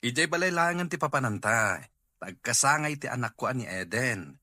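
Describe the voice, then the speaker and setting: A man's voice: mid-range voice, animated, moderate pace, sharp tone, no echo, studio quality. A man with a Filipino accent playing a joking, teasing friend in a radio drama. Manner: teasing questions. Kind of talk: Ilocano radio drama acting.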